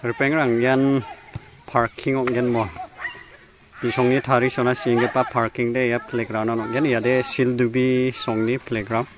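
A man's voice: mid-range voice, level tone, measured pace. A young man hosting a casual vlog by a rural pond, chatting and explaining directions into a phone held at arm's length. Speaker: vlogger host. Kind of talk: casual outdoor vlog talk. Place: outdoors by a rural fish pond.

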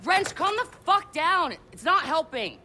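An actress playing a level-headed young hacker who talks in a frank, casual, direct way. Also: female voice, extremely high pitch, sing-song, a little slow, exaggerated voice. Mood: exasperated. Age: young adult. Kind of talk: video game cutscene acting. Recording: clear background noise.

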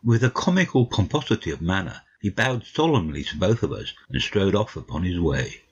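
A man's voice: low-pitched, big pitch swings, brisk, slightly rough. A man narrating a literary audiobook from a script. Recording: slightly dull, lo-fi audio.

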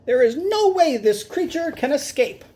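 A man voicing a greedy, boastful mouse scientist with a squeaky voice in a storybook. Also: big pitch swings, moderate pace, dark tone. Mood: showy, boastful.

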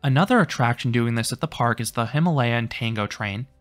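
A man reads a scripted amusement park review in an even, informative voice of middling pitch. He stays matter-of-fact throughout. Faint music plays softly beneath the voice-over. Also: a little fast.

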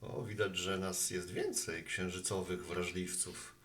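A man's voice: low-pitched, little pitch movement, unhurried, a bit bright, slight background noise. An actor playing a talkative, friendly postman with earnest opinions, chatting at a bar in an audio drama. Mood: earnest explaining. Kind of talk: Polish audio drama acting.

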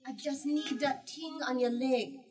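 A woman's voice: high-pitched, fairly even intonation, a little slow, music in the background, voice pushed up. A woman voicing a plucky, earnest young island girl in a storybook, pleading and emphatic. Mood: coaxing. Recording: distant from the microphone.

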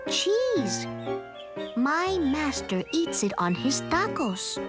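A tiny, high-voiced storybook lamb, sweetly wily and coaxing. The childlike, bleating voice swoops up and down at a slow pace, with music behind. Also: female voice, big pitch swings, exaggerated voice. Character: clever little ewe lamb, innocent-sounding, sweetly wily.

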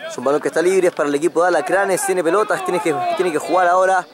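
A man's voice: high voice, fast talker. A man giving live play-by-play commentary on a rugby match outdoors. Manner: excitable play-by-play, rises on breaks. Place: outdoors at a sports ground. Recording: crowd noise behind. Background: crowd and field noise.

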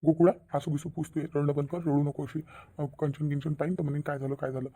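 Male performer, village husband and father, cartoon, gentle, consoling, mellow, fast-paced. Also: on the high side, little pitch movement, husky. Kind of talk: cartoon voice acting.

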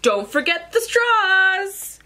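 A woman voicing a cheerful, generous male fox in a children's storybook, eager for adventure, with exclaiming, drawn-out, welcoming lines. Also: very high voice, big pitch swings, sharp tone, voice pushed up, full character voice.

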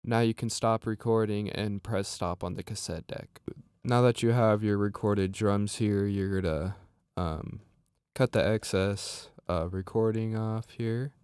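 A man narrating a software tutorial as a music production instructor, explaining step by step in an informal way. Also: fairly low pitch, fairly even intonation, measured pace, clear, resonant tone, no echo, clean studio sound.

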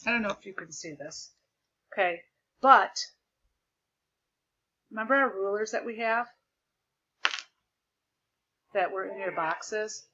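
A woman teacher projecting her voice loudly as she explains a lesson step by step over a video call, repeating the key points. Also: mid-range voice, slow, long pauses, highs cut off. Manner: loud projected voice. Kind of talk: remote classroom lesson. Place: home desk with a document camera. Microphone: computer mic on a video call.

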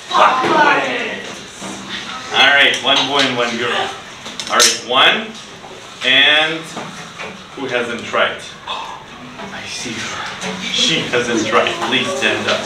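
Middle-aged male ESL teacher, unhurried simple delivery, recorded at a distance in a noisy, echoey classroom. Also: mid-range voice. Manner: simple, deliberate phrasing with repeated words and short instructions. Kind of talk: classroom English lesson for children. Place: indoor school classroom.

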